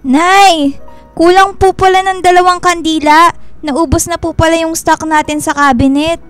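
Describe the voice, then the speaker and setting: Woman playing young filipino daughter, really high voice, dutiful but a bit sulky, radio drama w music. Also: fairly even intonation, normal speed. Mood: apologetic. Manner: meek to mother, grumbling to brother.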